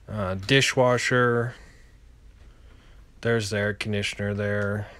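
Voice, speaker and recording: A man in his thirties, a landlord giving a casual, halting, unscripted walkthrough voiceover. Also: mid-range voice, fairly bright tone, clean tone, no echo. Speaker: landlord and home renovator.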